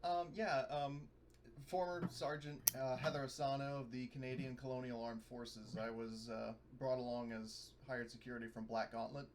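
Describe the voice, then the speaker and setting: A man's voice: mid-range voice, fairly even intonation, moderate pace. A man casually improvising narration in a tabletop roleplaying game, tentative and meandering in his delivery. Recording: faint background noise.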